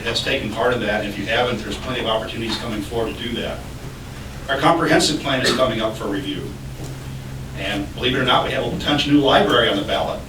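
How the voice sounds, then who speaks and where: A man giving an opening statement as a city commissioner candidate at a public debate, in a friendly, slightly joking manner. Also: medium pitch. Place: public library meeting room. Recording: echoey room, distant mic, noisy.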